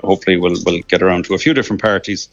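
An Irish man in his thirties phones in to a radio programme, talking casually and stumbling over his words with plenty of fillers and restarts. His voice sits low. The line sounds dull and muffled. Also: rapid-fire, no echo. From Ireland. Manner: hesitant, many restarts and fillers. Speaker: caller.

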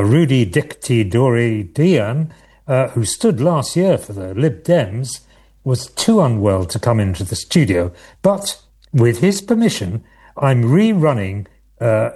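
A man hosting a local radio politics show, presenting candidates in a measured way with occasional hesitations. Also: medium pitch, dark tone, slightly rough.

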